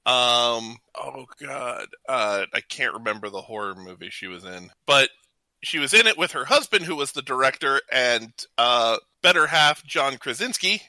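A man's voice: medium pitch, expressive, moderate pace, sharp tone, dry recording. A man hosting a trivia game on a casual talk show, speaking informally and hesitating a little when he ad-libs. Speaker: podcast host running a trivia game.

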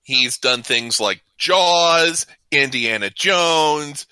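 A man co-hosting a casual radio talk show, reading out trivia with halting introductions. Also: a little high, animated, bright, forceful tone, smooth, no room sound.

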